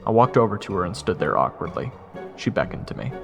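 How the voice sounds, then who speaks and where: A man's voice: low voice, big pitch swings, fast, vocal fry, in a large hall, heavily acted. A man playing a wisecracking, easily flustered jester who narrates his own story in an audio drama. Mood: narrating. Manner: casual, wry, awkward. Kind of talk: first-person audio drama narration and dialogue. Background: music.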